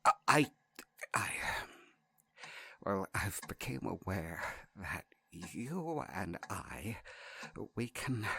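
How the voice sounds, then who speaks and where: A man playing a vain but nervous gentleman illusionist in an audio drama, speaking formally and theatrically with a stammer. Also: deep voice, vocal fry, breathy edge, dry recording, exaggerated voice. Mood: nervous, hopeful.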